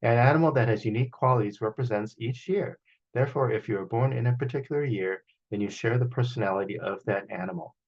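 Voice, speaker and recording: A man reading a children's picture book aloud as a storyteller, in an expressive manner, over a video call. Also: medium pitch, fast, highs cut off. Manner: expressive, acts out sound effects.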